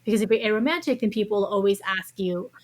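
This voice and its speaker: A woman's voice: medium pitch, fast. A woman with a mid-range voice speaks as a guest in an interview. She explains things in an articulate, conversational style.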